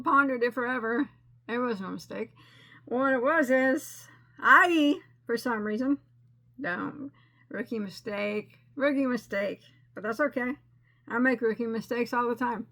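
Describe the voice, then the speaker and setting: A woman's voice: a little high, sharp tone. A woman hosting a crochet channel, chatting casually and rambling, laughing at her own mistakes. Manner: rambling and self-deprecating, with frequent restarts and laughs. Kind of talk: casual chatty crafting update.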